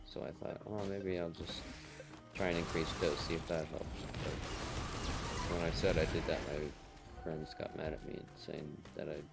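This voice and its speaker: Very deep gravelly monotone young man. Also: normal speed. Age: young adult. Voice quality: gravelly low voice. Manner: monotonous, flat delivery. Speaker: gamer vlogger. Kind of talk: casual gameplay commentary. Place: home room. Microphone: desk mic with noise suppression. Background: loud game music and gunfire.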